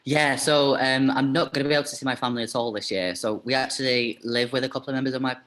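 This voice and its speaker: A man's voice: medium pitch, steady tone, fast, a bit bright. A man chatting as an interview guest, talking casually with lots of fillers and "like".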